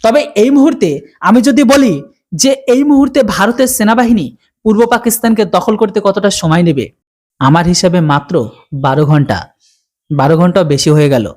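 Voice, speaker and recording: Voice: medium pitch, brisk, a little mellow. A channel host from India with an Indian Bengali accent, delivering news commentary.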